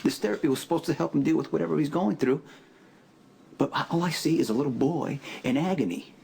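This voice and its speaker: An actor playing a stubborn, protective father in a television soap drama, speaking emphatically and haltingly. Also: fairly high pitch, a little mellow. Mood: pained, stubborn. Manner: emphatic, halting, repeats words. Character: protective, stubborn, angry father of a troubled boy. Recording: clearly noisy background ambience.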